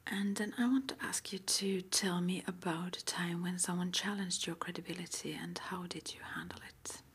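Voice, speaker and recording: A woman's voice: on the low side, steady tone. Whispering woman in an ASMR roleplay. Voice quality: breathy whisper. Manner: soft, unhurried, murmuring acknowledgements between questions. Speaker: interviewer at a witch school.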